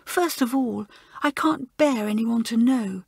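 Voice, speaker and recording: A woman's voice: a little high, measured pace, vocal fry, slightly rough, dry recording, clean studio sound, voice pushed up, full character voice. A woman voicing a tearful, ashamed schoolgirl. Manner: sobbing, pleading.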